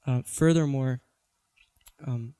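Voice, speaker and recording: A teenage student speaker, grown up in Singapore, giving an earnest, measured prepared talk. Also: male voice, medium pitch, mellow, clean tone. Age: teens.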